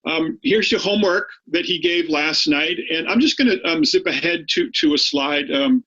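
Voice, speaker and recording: A man's voice: a little high, animated, moderate pace, fairly bright tone, lo-fi sound. A middle-aged man with a Boston accent tinged by Maine, giving an online statistics review lesson in a chatty way, often repeating his words. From Boston area, United States. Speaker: high school statistics teacher.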